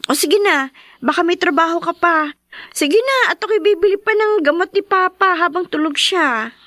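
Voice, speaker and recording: An actress playing a weary, self-sacrificing daughter in an emotional, tender Filipino radio drama. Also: female voice, very high-pitched, lively intonation, moderate pace, pushed and bright, dry recording, clean studio sound, heavily acted. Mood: guarded, weary. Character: weary, self-sacrificing grown daughter caring for sick father.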